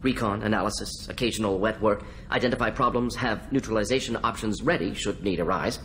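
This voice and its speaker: A man playing an eccentric alien scientist doctor in a video game, speaking in brisk telegraphic fragments as if thinking aloud, over music. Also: lowish voice, a bit bright, slight background noise, natural voice. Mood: brisk, upbeat. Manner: clipped telegraphic phrases, thinking aloud, articles dropped.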